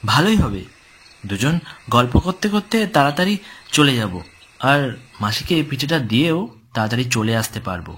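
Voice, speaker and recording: A man's voice: medium pitch, big pitch swings, moderate pace, long pauses, fairly bright tone, slight background noise. A man voicing a protective young older brother in a horror cartoon. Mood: obliging. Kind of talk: Bengali horror cartoon dub.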